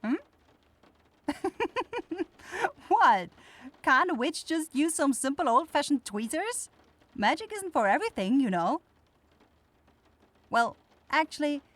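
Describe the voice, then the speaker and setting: A woman acts out a young forest witch for a fantasy ASMR roleplay. Her voice sits on the high side and swings widely in pitch, at an unhurried pace. She is caring but easily rattled, hesitating and then blurting things out.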